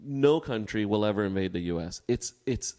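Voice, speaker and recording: A man's voice: fairly low pitch, lively intonation, moderate pace, warm tone. An American man co-hosting a podcast, chatting casually and emphatically about his opinions, often repeating himself. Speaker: podcast co-host. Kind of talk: casual political chat. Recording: long echoing reverb.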